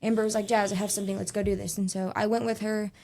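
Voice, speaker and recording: A woman's voice: mid-range voice, level tone, fast, mellow. A young woman from Texas, a guest talking in an earnest, casual way with lots of fillers and restarts. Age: young adult. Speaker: guest, youth advocate. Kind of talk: casual interview conversation.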